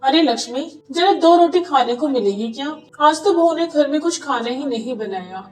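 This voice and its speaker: A woman's voice: high-pitched, lively intonation, normal speed, far from the mic, soft background music, poor audio. A woman voicing a complaining, gossipy older neighbour in a cartoon story. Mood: grumbling. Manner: grumbling, gossipy.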